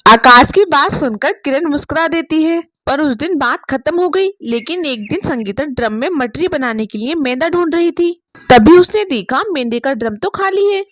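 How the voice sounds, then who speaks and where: A woman's voice: high voice, animated, moderate pace, fairly bright tone, no echo, studio quality. A woman storyteller reading scripted narration of a moral family tale.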